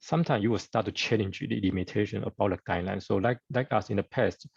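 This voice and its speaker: A man from Taiwan, giving a conference talk over a video call in halting English with many fillers and restarts. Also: on the low side, vocal fry, slightly rough, no echo, dull audio. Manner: halting, hesitant with many fillers and broken grammar. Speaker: guest speaker surgeon.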